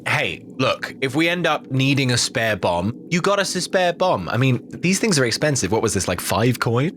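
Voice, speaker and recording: A man's voice: medium pitch, very animated, normal speed, fairly bright tone, slight background noise. A man playing a driven, exasperated gang leader bent on revenge, insistent and emphatic, in a tabletop game. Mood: placating.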